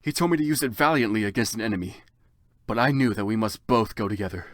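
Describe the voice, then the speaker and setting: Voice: mid-range voice, animated, quick pace, no echo, clean studio sound. A narrator giving a theatrical, exaggerated comedic reading of fan fiction.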